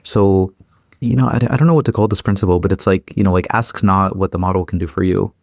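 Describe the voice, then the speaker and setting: Interview guest: man in his 30s, fast and loose, low-pitched, dry close sound. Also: steady tone, vocal fry, darkish tone, dry recording. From Ukraine. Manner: quick, many fillers and repeated restarts. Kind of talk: casual interview chat.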